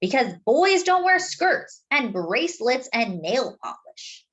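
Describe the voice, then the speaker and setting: A woman's voice: high-pitched, very animated, slow, voice pushed up, heavily acted. A woman voicing a scornful older boy in a storybook, blunt and dismissive.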